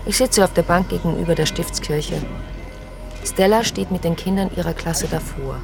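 A wry, observant woman with a slightly low voice, dry and understated. She speaks as in a radio play, with music clearly behind her. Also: moderate pace. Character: wry, observant, sceptical woman narrating and chatting. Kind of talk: radio drama, first-person narration and dialogue.

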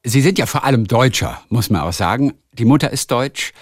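A man's voice: medium pitch, animated, brisk, no room sound, clean studio sound. A man interviewing a guest, asking questions in an engaged, chatty way and adding his own views.

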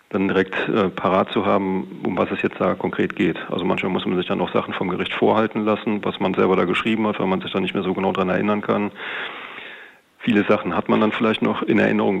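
A man's voice: on the low side, gravelly, dull audio. A middle-aged man, a police detective, giving a wordy, hesitant, matter-of-fact account in an interview.

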